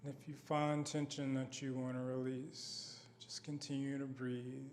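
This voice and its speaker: A man guiding a body scan meditation in a gentle, unhurried manner with long pauses. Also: mid-range voice, level tone, slight background noise. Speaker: meditation guide.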